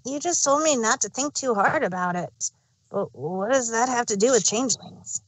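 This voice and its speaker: A woman's voice: on the high side, animated, moderate pace, no room sound, rough recording. Woman voicing a curious little boy dragon, close and dry. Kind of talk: fan fiction dramatic reading.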